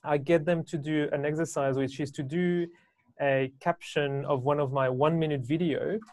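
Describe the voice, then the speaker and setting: A man in his thirties with a French accent, explaining things casually and haltingly as an interview guest on a video call. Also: on the high side, fairly even intonation, darkish tone, no room sound. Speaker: guest, business owner and educator. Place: small indoor room, video call.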